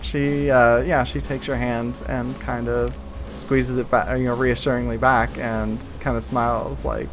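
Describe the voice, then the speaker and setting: A woman's voice: rumbling low, little pitch movement, poor audio. Conversational woman game master over music. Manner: halting, conversational. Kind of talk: improvised tabletop game narration. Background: soft music.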